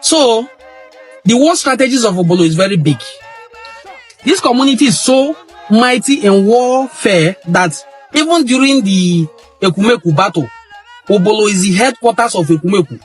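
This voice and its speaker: A man's voice: high-pitched, expressive, moderate pace, faint music behind. A middle-aged Nigerian man giving an impassioned monologue on history, emphatic and repeating phrases for stress. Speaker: cultural history commentator.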